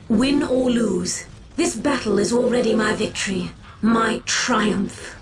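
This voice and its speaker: An actress playing a solemn, commanding alien war seer in a video game, speaking gravely and formally with theatrical weight. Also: female voice, medium pitch, lightly acted, poor audio. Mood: reflective, resolute. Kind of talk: dramatic video game voice acting.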